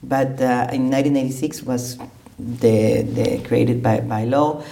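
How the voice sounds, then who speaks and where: A man's voice: mid-range voice, fairly even intonation, a little slow, creaky, warm tone. A middle-aged man from Costa Rica, a trade diplomat answering interview questions with many fillers and restarts. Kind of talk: conversational interview.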